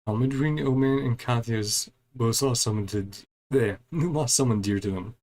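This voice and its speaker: A man's voice: medium pitch, moderate pace, darkish tone. A man giving casual live commentary as he streams a game, muttering to himself and trailing off.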